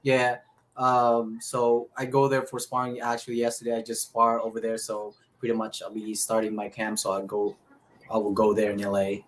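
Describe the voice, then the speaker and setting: Halting Filipino-accented man, casual interview guest. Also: fairly low pitch, completely flat delivery. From Philippines. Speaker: professional boxer, interview guest. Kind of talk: casual interview answers, recounting his life.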